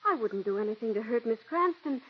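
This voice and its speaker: A breathy, gushing young stage-struck girl. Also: fairly high pitch, expressive, brisk. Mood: pleading. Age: young adult. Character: young stage-struck female stenographer, adoring and eager. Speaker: radio drama actress. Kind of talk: 1940s radio acting. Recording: dull 1940s radio broadcast.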